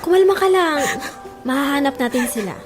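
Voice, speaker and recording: A woman's voice: sits high, lively intonation, fairly quick, over music. A woman with a Filipino accent playing a caring, reassuring friend in an audio drama, soothing and earnest. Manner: soothing, earnest, gently insistent. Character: caring, reassuring female friend of a distressed mother.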